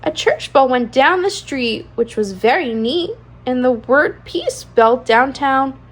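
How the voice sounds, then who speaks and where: Animated high-pitched woman reading a storybook. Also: measured pace. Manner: singsong, rhyming cadence with expressive emphasis. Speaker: children's read-aloud channel host. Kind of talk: animated storybook read-aloud for young children.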